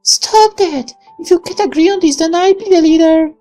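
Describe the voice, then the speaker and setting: A performer voicing an assertive, teasing young girl in a visual novel, in a very high falsetto. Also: normal speed, mellow, faint music behind. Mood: scolding.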